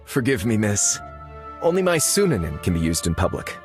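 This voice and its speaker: A man's voice: lowish voice, big pitch swings, moderate pace. A man playing a dapper, courteous male novelist in video game dialogue, with a mannered politeness. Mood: suave, courteous. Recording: music with violin behind the voice.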